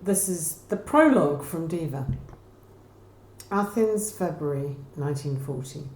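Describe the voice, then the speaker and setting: Woman reading a novel out loud, low voice, kinda echoey room. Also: lively intonation, unhurried. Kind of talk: scripted narration, reading a novel prologue. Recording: roomy echo, faint background noise.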